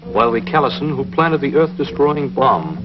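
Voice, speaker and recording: A man's voice: medium pitch, sing-song, normal speed, close to an everyday voice. A man narrating a 1950s television space serial in a grave, dramatic scripted style. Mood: grave, suspenseful. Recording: dull vintage 1950s TV soundtrack. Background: music.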